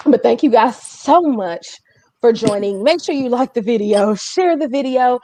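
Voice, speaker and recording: A woman's voice: fairly high pitch, a little mellow. A woman hosting a casual livestream chat, talking in an informal, chatty way with joking asides. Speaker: host of a music community livestream.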